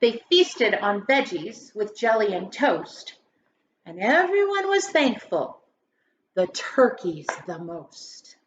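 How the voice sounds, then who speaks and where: A woman's voice: mid-range voice, very animated, takes it slowly. A woman reading a children's story aloud like a grade school teacher, with theatrical, emphatic delivery. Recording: roomy echo, dull sound, faint noise.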